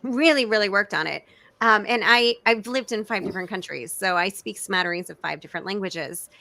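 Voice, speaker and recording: A woman hosting a casual discussion as a comedian, talking in an animated way and acting out quoted remarks. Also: mid-range voice, fairly quick, vocal fry, no echo. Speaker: host and stand-up comedian.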